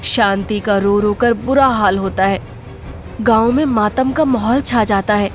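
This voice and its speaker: A woman's voice: mid-range voice, a little slow, soft background music. A woman narrator reading a scripted story in a dramatic storytelling style.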